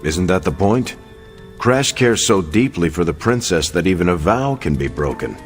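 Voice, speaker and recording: A man's voice: deep voice, big pitch swings, normal speed, faint music behind. A man acting as an earnest, puzzled hero in a video game cutscene, inquisitive and matter-of-fact. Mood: puzzled, curious.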